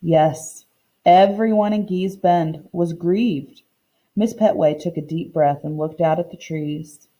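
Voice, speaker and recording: A woman reading a storybook aloud like a teacher, expressive and deliberate, explaining as she goes. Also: lowish voice, rough recording. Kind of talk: expressive storybook read-aloud with comprehension questions.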